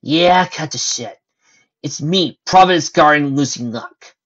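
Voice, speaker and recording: A man's voice: mid-range voice, animated, moderate pace, a bit bright, breathy edge. A man voicing a bossy, tough female pony guardian in a sarcastic, blunt way, on a dull lo-fi recording.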